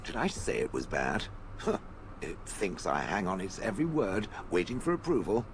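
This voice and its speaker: An actor playing a sardonic stone golem from a fantasy video game, haughty and contemptuous of people. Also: male voice, low-pitched, lively intonation, a little hiss or hum. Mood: defensive, haughty. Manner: haughty, sardonic, deliberate phrasing.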